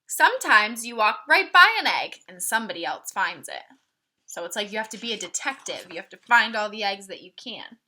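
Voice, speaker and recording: A woman's voice: mid-range voice, sing-song, brisk, sharp tone. A woman reading a picture book aloud to children, adding simple explanations and questions for the listeners like a teacher. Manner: instructive, asking listeners questions. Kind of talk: storybook read-aloud with explanatory asides.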